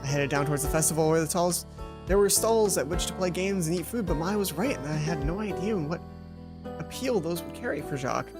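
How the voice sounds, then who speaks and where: Female game streamer, low register, reads story text with offhand asides, music clearly behind. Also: fairly quick. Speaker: let's play host. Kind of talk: reading game narration aloud with casual asides. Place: closed hot room at home.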